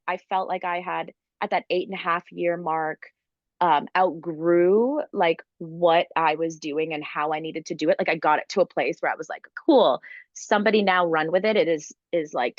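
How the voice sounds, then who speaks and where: Low-voiced woman talking fast with lots of "like". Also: no echo, highs cut off. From Ukraine. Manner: fast, filler-heavy, enthusiastic with many "like"s. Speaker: guest entrepreneur. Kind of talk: conversational interview.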